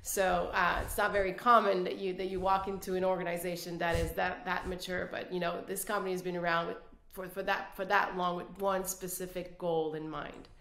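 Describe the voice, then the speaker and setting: A woman's voice: on the low side, level tone, fairly quick, vocal fry, fairly bright tone. A woman from City, a guest speaking earnestly in a podcast interview, with many restarts. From Quebec City, Canada. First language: French. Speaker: guest executive. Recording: roomy echo.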